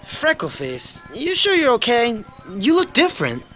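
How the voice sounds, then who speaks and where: Young boy, brash, curious, lively swooping delivery, bright tone, audio drama. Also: a little high, measured pace, heavily acted. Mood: intrigued, concerned. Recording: old cassette with faint music.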